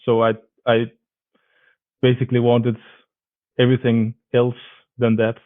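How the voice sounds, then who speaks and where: Guest, flat even voice, tinny phone-like sound. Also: male voice, medium pitch, unhurried. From Denmark. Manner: thoughtful, searching for words. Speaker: band member, guest. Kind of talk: casual interview answers.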